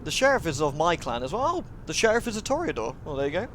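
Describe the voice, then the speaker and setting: A man voicing a refined, courteous vampire woman in a visual novel, measured and eloquent. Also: a little high, sing-song, noisy background. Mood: wistful.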